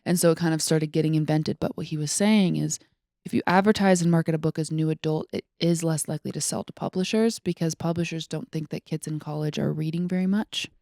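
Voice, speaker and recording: A woman's voice: low voice, fairly even intonation, fast, creaky, warm tone, no room sound, clean studio sound. A woman in her twenties chatting casually about books as a podcast co-host, in an informal way peppered with "like". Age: 20s.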